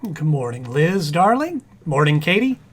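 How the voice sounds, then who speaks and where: A man's voice: fairly high pitch, very animated, measured pace, slightly rough, full character voice. A man playing a distracted, mild-mannered husband in a 1940s-style radio comedy, hesitant and stammering. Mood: mild, agreeable. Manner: hesitant, stammering, absent-minded.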